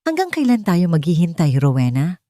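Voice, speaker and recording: A woman's voice: fairly low pitch, sing-song, normal speed, dark tone, no echo, studio quality. A woman voicing an impatient, greedy male conspirator in a narrated audio drama.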